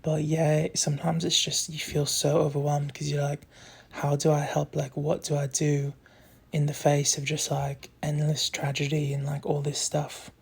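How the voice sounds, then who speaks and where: A young adult speaking in a put-on British accent, rambling candidly and softly to followers into a phone, saying "like" often, with a husky, creaky voice that trails into breathiness. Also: medium pitch, completely flat delivery, normal speed. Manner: soft, candid, meandering with frequent "like". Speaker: social media content creator. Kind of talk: casual rambling confessional chat to followers.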